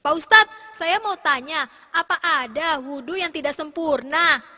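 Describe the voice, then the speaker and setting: Someone voicing a high-pitched eager schoolchild, reverberant. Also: measured pace, noisy background. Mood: curious. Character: eager, polite young schoolchild in religious class. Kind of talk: educational cartoon dialogue. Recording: long hall-like reverb.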